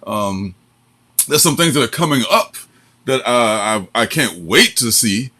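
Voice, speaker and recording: A man's voice: medium pitch, expressive, unhurried, sharp tone. A man in his forties, co-hosting a casual chat. He talks in a chatty way, laughs often, and uses a lot of fillers. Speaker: co-host.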